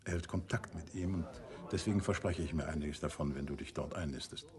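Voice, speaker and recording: An actor playing a courteous, composed middle-aged detective in a radio crime drama, speaking in a measured, conversational way. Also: male voice, very deep voice, crowd noise. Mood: friendly, businesslike.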